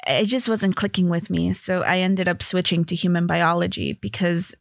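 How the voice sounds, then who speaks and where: A woman's voice: on the low side, fairly even intonation, normal speed, creaky, no room sound, studio quality. A woman in her thirties from Los Angeles, a comedy TV writer chatting casually as a guest, laughing often and filling her talk with "like". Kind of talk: casual interview chat.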